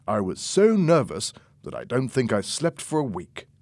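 A man's voice: mid-range voice, sing-song, moderate pace, no echo, studio quality, voice pushed up. A man as a reassuring middle-aged dad. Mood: encouraging. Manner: warm, encouraging. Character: reassuring middle-aged father, former pilot.

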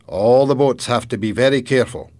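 A man's voice: mid-range voice, brisk, a little mellow, pitched above the performer's own voice, natural voice. Man acting a kindly, proud old grandfather. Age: older. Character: kindly older Scottish grandfather, proud and a little sad.

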